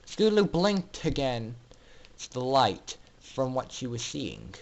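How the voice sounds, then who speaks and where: A man's voice: medium pitch, big pitch swings, takes it slowly, vocal fry, low-quality recording. A man reading a story aloud as narrator in a theatrical, dramatic style. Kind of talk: dramatic scripted fan fiction narration.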